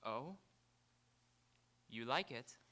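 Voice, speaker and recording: A man on stage plays a wry working writer in a live radio play. His mid-pitched voice is bright and forceful. He is a little hesitant and awkward among his betters. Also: lively intonation, very slow, exaggerated voice. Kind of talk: live radio theatre acting.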